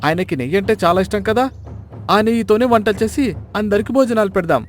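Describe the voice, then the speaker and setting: Man playing a sly villager, high voice. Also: a little fast, lightly acted. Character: sly, mock-solemn village man teasing a miser. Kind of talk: dramatised storybook dialogue.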